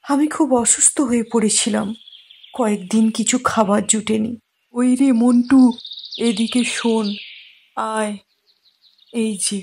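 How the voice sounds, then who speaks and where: A woman playing a kind, grateful, generous village man in a cartoon, speaking politely and gently. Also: a little high, fairly even intonation, unhurried, creaky, long pauses. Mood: grateful, earnest. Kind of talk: cartoon moral-story dialogue. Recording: faint noise with birdsong.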